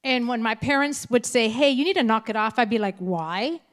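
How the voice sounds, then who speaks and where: A woman of fifty-nine from California, Spanish her first language, giving a frank, lively keynote speech at a school assembly and talking straight to the audience. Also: on the high side, moderate pace. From California, United States. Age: 50s. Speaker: keynote speaker. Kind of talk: candid motivational speech.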